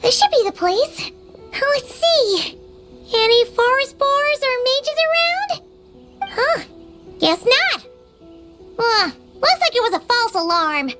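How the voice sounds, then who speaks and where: A woman playing a tiny, excitable female fairy companion in a video game, with a squeaky childlike voice and an exclamatory way of talking. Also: takes it slowly, pauses often, over music, exaggerated voice. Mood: curious, doubtful. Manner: speaks of herself in third person, exclamatory.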